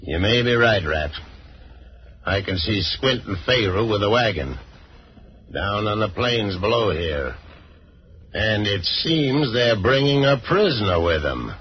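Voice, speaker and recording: A muffled old radio serial with faint noise carries a villainous outlaw boss. His voice sits low, cold and commanding, full of menace and authority. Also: sing-song, unhurried. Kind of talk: 1940s Western radio serial acting.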